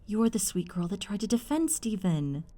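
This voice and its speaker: Lady voicing fussy protective mom in an audio roleplay, middle-aged, mellow voice, very up and down, dramatic. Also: mid-range voice, very animated, moderate pace. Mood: delighted. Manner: effusive, fussing, dramatic. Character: doting, fussing, fiercely protective middle-aged mother.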